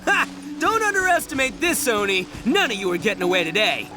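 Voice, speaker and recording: A man's voice: sits high, big pitch swings, brisk, loud music behind the voice. A man playing a brash, boastful young oni in a video game, theatrical and blustering. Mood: triumphant. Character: brash, boastful young male oni, big-hearted and dim.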